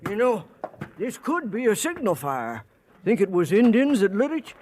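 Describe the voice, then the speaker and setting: A man's voice: high voice, huge pitch swings, moderate pace, a little mellow, exaggerated voice. An American man playing a folksy, wry old sidekick in an old-time radio western. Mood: curious. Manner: folksy, needling, knowing. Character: nosy, wry old cowhand sidekick, male. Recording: noisy vintage radio recording.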